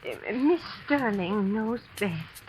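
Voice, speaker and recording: An actress playing a frail, grieving old headmistress in an old radio drama, hesitant, stammering and easily led. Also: female voice, mid-range voice, lively intonation, slow, a little mellow, a little hiss or hum, rough recording. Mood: faltering, bewildered. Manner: hesitant, stammering, flustered, submissive. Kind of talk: 1940s-50s radio suspense acting. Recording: vintage radio recording with music.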